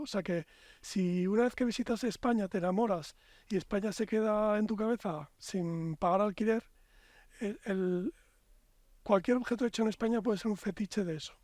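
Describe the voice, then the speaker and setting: A man's voice: high-pitched, brisk, darkish tone, no room sound, studio quality. A man with a Spanish accent, a podcast guest, talking in long reflective, expansive stretches. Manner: reflective, expansive, builds long lyrical arguments. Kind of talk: casual podcast conversation.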